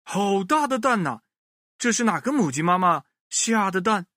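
A man plays a gullible rooster guard in a children's tale. The delivery is wondering and exclamatory, with lively pitch and a dry, close sound. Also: moderate pace, no echo, heavily acted. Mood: startled.

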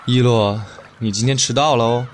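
Man playing a gentle, mid-pitched young merman. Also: fairly even intonation, normal speed, slight background noise, close to an everyday voice. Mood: gentle, playful. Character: gentle, trusting young merman, easily wounded. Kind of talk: dramatic audio drama acting.

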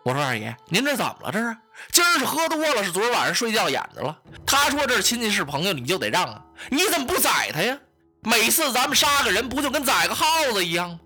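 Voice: medium pitch, sing-song, fast talker, pushed and bright, breathy edge, faint music behind, full character voice. A storyteller voicing an agitated male henchman complaining to his boss. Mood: indignant. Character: grumbling male henchman, indignant.

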